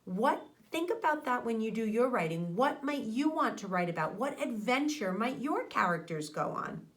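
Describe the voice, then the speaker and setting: A middle-aged woman, an elementary teacher reading aloud and talking directly to young children in an expressive way, close to a microphone indoors. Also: on the high side, normal speed. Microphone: microphone on a boom arm close to mouth.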